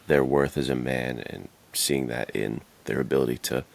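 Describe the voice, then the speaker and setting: A man with a strikingly deep voice talks in a casual podcast style. His tone has a clear gravelly creak. He reflects out loud, informally working through his thoughts as he speaks. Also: steady tone, normal speed. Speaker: podcast co-host.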